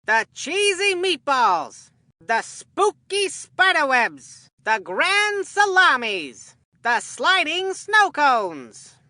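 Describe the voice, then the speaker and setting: A woman's voice: high-pitched, big pitch swings, drawn-out words, sharp tone, dry recording, studio quality, full character voice. A woman voicing a goofy, food-obsessed kid baseball commentator in a video game, with a folksy, rambling, earnest delivery. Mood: announcing. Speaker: sports commentator. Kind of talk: cartoonish scripted game commentary.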